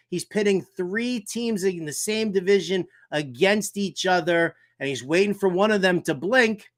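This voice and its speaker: An American man hosting a fantasy football talk show, animated and theatrical, with colloquial, wisecracking delivery. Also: sits high. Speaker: sports talk show host.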